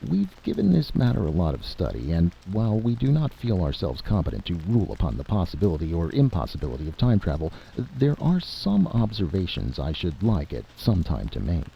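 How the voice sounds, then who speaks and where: A man voicing a cautious, earnest economics expert, formal and deliberate, in a story reading on a dull, noisy recording. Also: sits low, creaky, voice pushed up, heavily acted. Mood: measured concern. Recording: dull lo-fi audio with steady hiss.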